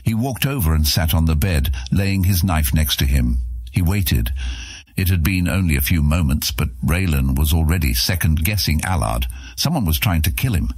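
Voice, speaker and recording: A man narrating an epic fantasy audiobook in a dramatic, measured, suspenseful storytelling style. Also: rumbling low, darkish tone, breathy edge, no room sound.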